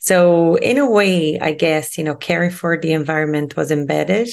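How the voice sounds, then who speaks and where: Woman, podcast guest, heavy vocal fry, lowish voice, chatty storyteller. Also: normal speed. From Brazil. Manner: chatty and storytelling, with fillers like "you know" and "whatnot". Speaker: guest, sustainability strategist. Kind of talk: conversational podcast interview.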